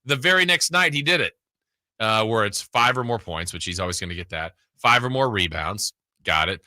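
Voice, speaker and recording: Bright, forceful American sports radio man. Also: fairly low pitch, animated, normal speed, dry recording, clean studio sound. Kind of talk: casual sports talk banter.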